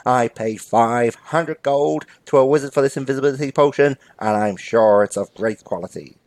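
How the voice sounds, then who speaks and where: A man's voice: medium pitch, normal speed, a little mellow, lightly acted. A man playing a pompous, dim and indignant fantasy robber in a comic sketch, speaking in colloquial dialect. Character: blustering dim fantasy bank robber convinced he is invisible.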